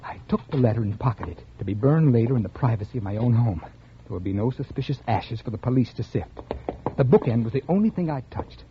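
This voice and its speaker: An actor playing a calculating murderer and writer, with a coolly logical manner in the style of old-time American radio crime drama. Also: male voice, medium pitch, expressive, a little hiss or hum, close to an everyday voice. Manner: deliberate, coolly logical in narration, casual with others. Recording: muffled old radio recording.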